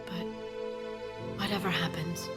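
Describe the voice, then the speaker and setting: An actress playing a gentle, devoted wartime companion, speaking in a measured, tender way in game dialogue. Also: female voice, on the low side, fairly even intonation, over loud music, natural voice. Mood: wistful, tender. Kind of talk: dramatic video game dialogue.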